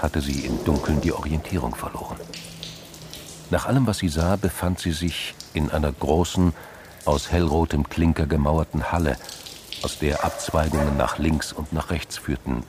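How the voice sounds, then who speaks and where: A man narrating a radio crime drama in a measured, literary storytelling manner. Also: a bass voice, fairly even intonation, noisy background.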